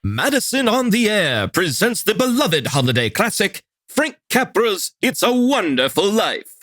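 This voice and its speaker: A man's voice: high-pitched, big pitch swings, measured pace, a bit bright, heavily acted. A man playing a grand old-time radio announcer, narrating from a script. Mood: grand, welcoming. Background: music.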